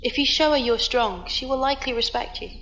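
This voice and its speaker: A woman playing a composed squire and advisor in an audio drama, speaking calmly, precisely and formally. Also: high voice, a little fast, a little hiss or hum, rough recording. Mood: calm, advising.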